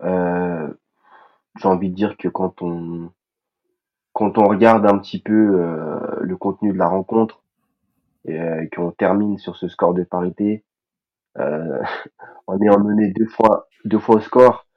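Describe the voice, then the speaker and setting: Man, podcast co-host, football chat, measured, emphatic repeats, deep, husky, lo-fi sound. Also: fairly even intonation.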